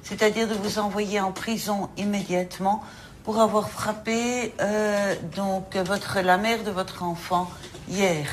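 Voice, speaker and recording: A woman's voice: medium pitch, fairly even intonation, slow pace, slight background noise. A woman prosecutor, questioning a suspect firmly in her office. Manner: stern, firm, pointed questions.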